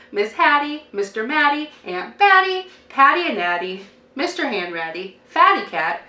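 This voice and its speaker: A woman reading a children's picture book aloud like a teacher, with expressive, deliberate emphasis and a dramatic sing-song delivery. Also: high-pitched. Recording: roomy, distant microphone, faint noise.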